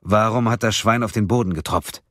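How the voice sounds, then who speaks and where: A man's voice: fairly low pitch, fairly quick, fairly bright tone, no echo, clean studio sound, pitched above the performer's own voice, close to an everyday voice. A man voicing a nervous, guarded male newspaper reporter who speaks tensely. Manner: nervous, pressed.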